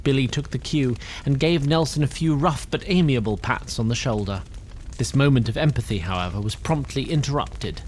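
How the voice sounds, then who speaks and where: A man's voice: medium pitch, normal speed, background noise. Wry male fantasy narrator. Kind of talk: scripted narration.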